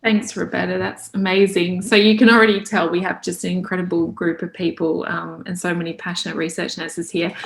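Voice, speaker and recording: A woman's voice: lowish voice, flat delivery, fairly quick, vocal fry, few pauses. A woman hosting a video meeting, upbeat and encouraging as she hands over to each guest. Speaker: host. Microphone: video call.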